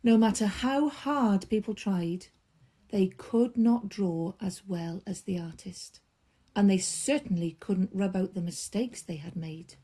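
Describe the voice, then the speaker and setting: Slow, soft-toned woman reading a bedtime story. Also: mid-range voice, breathy edge. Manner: expressive, with drawn-out emphasis and hushed drops. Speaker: children's storyteller. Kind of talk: bedtime story read aloud to children.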